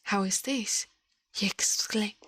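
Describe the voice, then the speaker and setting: Woman, Italian accent, breathy half-whisper, ASMR storyteller, dry close-mic sound. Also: medium pitch, takes it slowly, no room sound. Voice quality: soft, breathy, often whispered. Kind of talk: ASMR, soft-spoken and whispered storytelling and chat. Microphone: close microphone.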